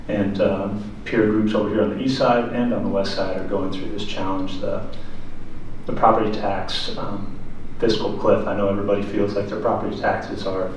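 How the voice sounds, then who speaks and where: A man chairing a public meeting, explaining procedure in a measured, hesitant, plain-spoken but formal way. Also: on the low side, distant mic. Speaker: city council chair running public comment. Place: council chamber at city hall. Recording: echoey meeting room from afar, faint hiss.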